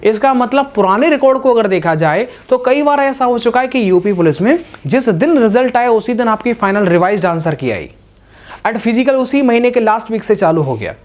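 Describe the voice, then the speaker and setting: A man's voice: very high voice, animated, a little fast, darkish tone. A man explaining things informally to students as a coaching teacher, repeating points and often directing the listener's attention. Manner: repetitive, instructive, with frequent verbal pointers to the listener.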